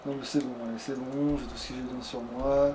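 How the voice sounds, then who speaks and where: A man's voice: medium pitch, little pitch movement, fast. A man casually chatting and muttering to himself with swearing while playing a video game as a let's play host. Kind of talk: casual gaming chat. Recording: clear background noise on a home recording.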